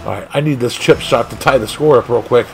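A man streaming a car soccer game, commentating his own play with excited outbursts and frustration at near misses. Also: mid-range voice, fast talker, vocal fry, music in the background. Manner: animated, exclaiming, frustrated at missed shots. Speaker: gaming streamer. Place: home gaming room.

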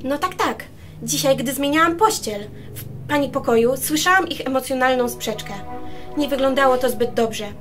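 Woman playing a high-voiced, gossipy maid. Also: normal speed, music in the background, lightly acted. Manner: effusive, deferential. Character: chatty, gossipy unmarried hotel-style maid, eager to please. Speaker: amateur radio drama actor. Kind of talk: radio play acting.

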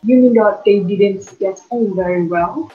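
Young woman as slow, hesitant county attorney. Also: medium pitch, close to an everyday voice. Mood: businesslike. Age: young adult. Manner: hesitant delivery with fillers. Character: male county attorney, probing, dismissive questioner. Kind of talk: amateur student drama performance. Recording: muffled band-limited recording, music behind.

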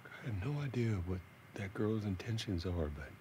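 A middle-aged man playing a gruff, weary but tender father figure in a video game, speaking hesitantly and with understatement. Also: on the low side, animated, moderate pace, mellow, a little hiss or hum, natural voice. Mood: earnest, tender. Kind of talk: naturalistic video game acting.

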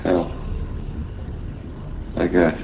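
An actor playing a dying sailor in a radio drama, weak, frightened and bitter. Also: male voice, low voice, completely flat delivery, drawn-out words, gravelly, a little mellow, heavily acted. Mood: weary. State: gravely ill, weak. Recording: noisy radio drama recording.

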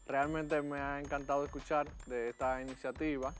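A young man with a Dominican Spanish accent talks earnestly in a studio conversation, mid-pitched and plain. Faint music sits behind him. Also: little pitch movement, moderate pace. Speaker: guest. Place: TV studio set.

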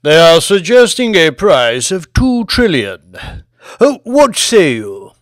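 A theatrical, excitable ancient English lord. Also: male voice, high-pitched, no room sound, studio quality, pitched above the performer's own voice, heavily acted. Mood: probing. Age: 169 years old. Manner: theatrical, drawn-out, exclamatory delivery. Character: imperious, excitable 169-year-old English lord, tall, grandiose. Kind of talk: audiobook character acting.

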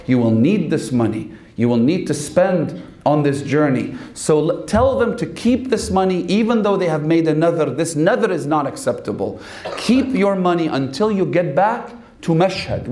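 A man in his thirties delivers a sermon as a story, his voice sitting fairly high. He sinks lower and slower when he quotes others. The hall gives his voice a clear echo. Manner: animated storytelling, quoting in a lowered voice. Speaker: preacher. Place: hall with red banner. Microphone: stand mic in front. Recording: echoey hall.

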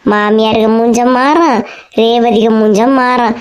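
A woman's voice: on the high side, steady tone, moderate pace, clean tone, natural voice. A woman voicing a boastful, combative middle-aged housewife in a comedy cartoon, emphatic, with a Kanyakumari Tamil accent. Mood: excited, proud.

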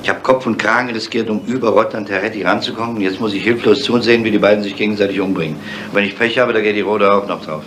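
An actor playing a gruff, bitter and driven police commissioner in a 1970s crime radio drama, grumbling and urgent. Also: male voice, fairly low pitch, quick pace, fairly bright tone, slight background noise, close to an everyday voice. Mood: bitter, helpless.

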